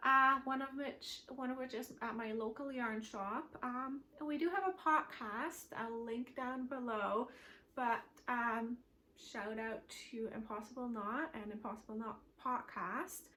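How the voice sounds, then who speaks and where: A woman's voice: on the high side, a little slow, bright, forceful tone, a little hiss or hum. A woman from Switzerland whose first language is German, hosting a knitting podcast from home in a rambling, chatty way full of fillers. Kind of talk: casual chat to camera.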